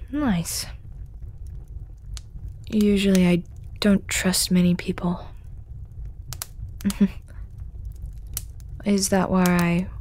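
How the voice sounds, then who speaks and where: A performer voicing a shy, hooded male storyteller, centuries old, who speaks softly with lots of hesitant stammering and whispers in an audio roleplay. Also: mid-range voice, slight background noise. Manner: hesitant and stammering, many ums and restarts; soft-spoken, often whispering.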